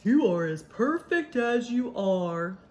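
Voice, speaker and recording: A woman's voice: on the high side, sing-song, takes it slowly, a little mellow, voice pushed down. A woman voicing a kind, devoted male donkey, reassuring and plain-spoken, in a children's story. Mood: reassuring, fond. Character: kind, devoted male donkey best friend, gently teasing.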